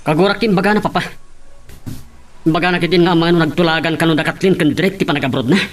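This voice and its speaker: A man's voice: a little high, fast talker, lightly acted. A man with an Ilocano accent playing a hot-tempered, resentful young husband, sounding defiant and aggrieved. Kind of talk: Ilocano radio-style drama acting.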